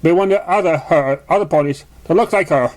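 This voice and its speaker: A man's voice: a little high, quick pace, rough recording. A man reading a story aloud as an amateur narrator, stumbling over words now and then. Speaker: amateur reader of fan fiction.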